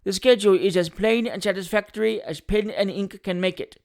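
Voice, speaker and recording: A man's voice: high-pitched, steady tone, brisk, darkish tone. A man voicing a pompous, boastful small-time attorney who likes a drink, with hesitant mock modesty, in a comic Victorian audiobook. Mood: businesslike. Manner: self-important, hesitating mock modesty, flowery politeness.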